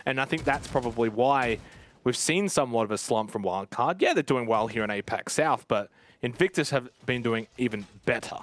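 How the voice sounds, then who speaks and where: A man's voice: mid-range voice, expressive, normal speed, slight background noise. A man commentating live on an esports match, switching between play-by-play and conversational analysis.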